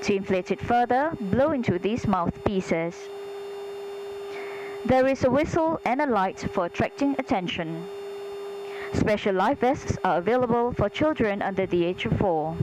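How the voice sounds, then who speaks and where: A woman flight attendant reading scripted airline safety announcements in a formal, measured, instructional way. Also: mid-range voice. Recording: clear engine hum behind cabin announcement. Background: steady aircraft hum.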